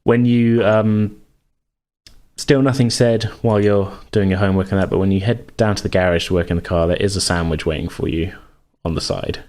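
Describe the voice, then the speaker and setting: A man's voice: sits low, fairly even intonation, fairly quick, darkish tone. A man acting as game master, improvising tabletop game narration in informal, hesitant phrasing.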